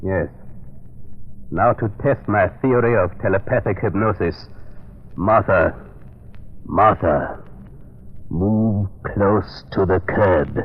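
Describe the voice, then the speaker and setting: A man playing a sinister, confident hypnotist doctor in old radio drama style, speaking with slow, suave menace. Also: low voice. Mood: coldly intent. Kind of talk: 1940s radio drama acting. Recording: muffled, noisy old radio recording.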